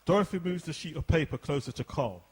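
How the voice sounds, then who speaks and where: A man's voice: a little high, fast, darkish tone, breathy edge. A man reading stage directions as the narrator of a radio play.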